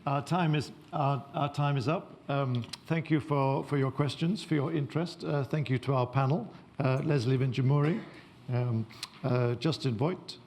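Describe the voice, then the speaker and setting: A man chairing a panel, briskly calling on questions and closing the session. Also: medium pitch, darkish tone, slight background noise. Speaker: moderator.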